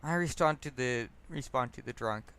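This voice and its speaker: A man's voice: medium pitch, moderate pace, a bit bright, clean tone. A man reading his own personal essay aloud as narrator, stumbling over words and correcting himself. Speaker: narrator and author.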